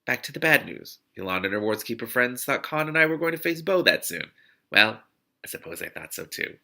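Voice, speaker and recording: Male read-aloud host, bright and creaky, enthusiastic, storytelling delivery with off-the-cuff reactions. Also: mid-range voice, big pitch swings, a little fast. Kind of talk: expressive audiobook-style reading with spontaneous asides.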